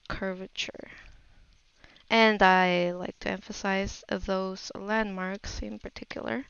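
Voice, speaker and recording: A woman narrating a casual art tutorial, unhurried and musing aloud as she works. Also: medium pitch, vocal fry, a bit bright, clear, resonant tone, no room sound. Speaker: digital painting tutor.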